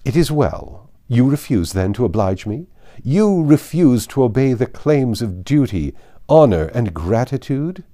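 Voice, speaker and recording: An imperious older noblewoman, as a male narrator acts her out in an audiobook. The delivery is formal and emphatic, swinging widely in pitch, full of offended pride and indignation. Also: big pitch swings, a little slow, mellow. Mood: outraged, menacing. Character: haughty, indignant older aristocratic lady. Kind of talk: dramatized audiobook reading.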